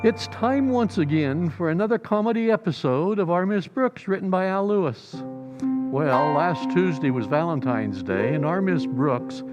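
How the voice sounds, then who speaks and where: A man's voice: fairly high pitch, expressive, moderate pace, dull audio, natural voice. A man announcing in old-time radio style, reading scripted narration with showy, presentational delivery. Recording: music behind the voice.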